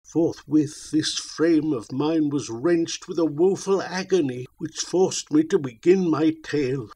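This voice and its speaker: A man's voice: on the high side, darkish tone, husky. A man voicing a tormented old mariner who solemnly declaims his tale in archaic verse.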